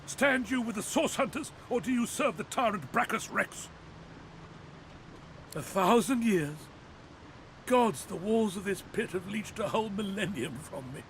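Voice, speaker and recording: A man's voice: sits high, lively intonation, unhurried, fairly bright tone, slight background noise, full character voice. A man playing a thousand-year-old skeleton knight in a fantasy game, anguished and noble, with theatrical, archaic speech. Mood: astonished, urgent. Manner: theatrical, archaic, grandiose phrasing. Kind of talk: dramatic fantasy game voice acting.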